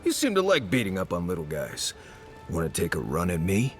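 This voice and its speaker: A man's voice: low-pitched, huge pitch swings, brisk, faint music behind. An actor playing a plain-spoken, seasoned male tracker companion in a video game. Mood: challenging.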